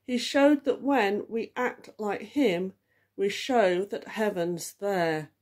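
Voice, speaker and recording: Woman, slow measured poetry reading, medium pitch. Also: a little mellow. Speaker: church group leader reading aloud. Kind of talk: measured ballad poem recitation.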